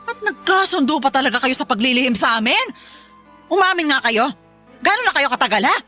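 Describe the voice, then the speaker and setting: A woman's voice: high-pitched, sing-song, a little fast, sharp tone, faint music behind, exaggerated voice. A woman playing a stern, exasperated Filipino mother who scolds emphatically in a radio drama.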